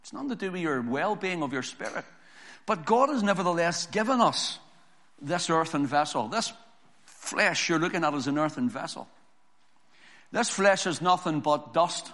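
A hoarse man preaching a sermon, reading scripture slowly and building to emphatic preaching. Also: on the high side, expressive, fairly bright tone. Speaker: pastor.

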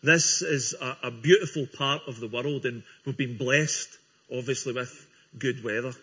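A man's voice: medium pitch, normal speed, sharp tone, breathy edge. A Scottish man from Scotland giving his church testimony to a congregation, earnest and friendly, with small restarts. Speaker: guest speaker giving testimony. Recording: dull lo-fi recording.

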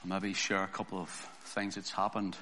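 A hoarse man preaching a sermon, reading scripture slowly and building to emphatic preaching. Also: low voice, level tone, pushed and bright, slight background noise. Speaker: pastor.

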